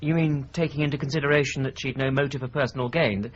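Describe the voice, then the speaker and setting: A man's voice: mid-range voice, steady tone, fast, muffled recording, a little hiss or hum, lightly acted. An actor playing a wry young man who questions the case and teases his fiancée.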